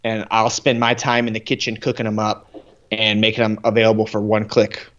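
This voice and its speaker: A man's voice: on the low side, monotone, fairly quick, a bit bright. Playful joking male co-host, casual delivery, slightly muffled lo-fi sound. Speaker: podcast co-host, photography instructor. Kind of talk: casual live-stream chat. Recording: slightly dull lo-fi audio.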